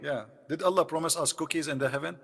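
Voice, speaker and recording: Gravelly man, mocking debater. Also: on the high side, fast talker, fairly bright tone, big-room sound. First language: Arabic. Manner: mocking, pressing questions. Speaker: debate host. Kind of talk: live debate call.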